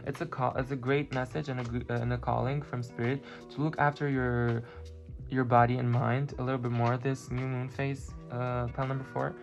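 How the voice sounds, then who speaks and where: A card reader who is not a native English speaker, giving a spiritual pick-a-card reading and often pausing to search for words. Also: medium pitch, little pitch movement. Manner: halting, many fillers, searching for words. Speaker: tarot and oracle card reader. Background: clear background music.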